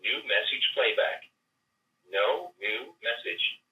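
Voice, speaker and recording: A man's voice: mid-range voice, slow, sharp tone. An automated male answering machine voice making announcements through a small device speaker. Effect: small device speaker playback. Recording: echoey, far device speaker, faint noise.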